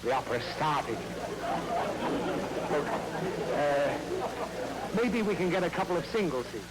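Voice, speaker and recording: A pompous, long-suffering dad. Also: male voice, on the high side, animated, measured pace, a loud crowd. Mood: exasperated. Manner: pompous, exasperated. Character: long-suffering blustery father. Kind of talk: 1940s radio comedy acting. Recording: crackly old radio recording.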